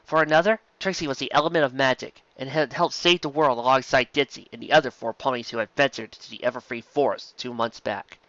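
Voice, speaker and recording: Fan fiction narrator, animated, bright tone, occasional stumbles, very dry sound, slightly muffled lo-fi recording. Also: mid-range voice, brisk, few pauses, dry recording. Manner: animated, stumbles over words. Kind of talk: expressive scripted story narration.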